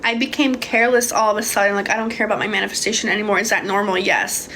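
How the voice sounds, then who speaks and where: A woman's voice: mid-range voice, steady tone, very fast, sharp tone, a little hiss or hum, low-quality recording. A young woman from Hungary answering viewers' questions about manifesting in a casual, swearing livestream chat. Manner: casual, swears freely, calls viewers babe. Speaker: manifestation coach. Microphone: phone.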